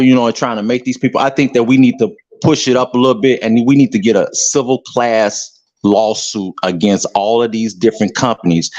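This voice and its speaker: A man from the South Side of Chicago calling in to give his opinion, talking in a halting way with many fillers and restarts. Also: mid-range voice, a little mellow, lo-fi sound. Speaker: caller. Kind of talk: casual call-in opinion.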